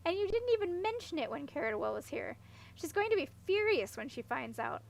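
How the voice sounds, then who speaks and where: A woman's voice: high voice, sing-song, a little fast, gravelly, pitched above the performer's own voice, heavily acted, low-quality recording. A woman voicing an awkward, unlucky young princess in a storybook, earnest and rambling as she explains herself. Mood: giggly, amused. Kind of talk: audiobook character voice.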